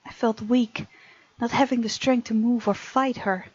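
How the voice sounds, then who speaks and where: A woman's voice: on the high side, steady tone, normal speed, no room sound. A woman hosting a game playthrough, reading visual novel narration aloud and adding her own commentary. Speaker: game let's-play host. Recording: dull sound, music behind. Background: game music.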